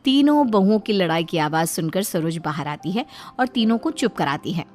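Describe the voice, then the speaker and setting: A woman's voice: lowish voice, animated, moderate pace, a little mellow, faint music behind, natural voice. A woman narrating a scripted cartoon family story. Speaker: narrator and character voice.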